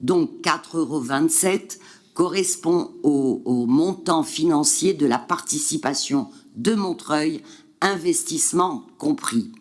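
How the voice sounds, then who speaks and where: Slow, hesitant male councillor. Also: a little high. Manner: hesitant, many fillers and restarts, reading figures. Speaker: city councillor answering questions. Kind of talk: council meeting presentation.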